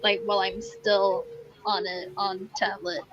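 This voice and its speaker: A woman's voice: medium pitch, level tone, measured pace, dull audio, background noise. A woman game streamer chatting casually as she streams. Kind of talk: casual chat while streaming.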